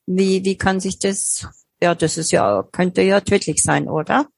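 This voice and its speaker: A woman's voice: fairly low pitch, a little slow, vocal fry, breathy edge, no echo. A woman hosting an interview, asking hesitant questions with many fillers and frequent backchannels.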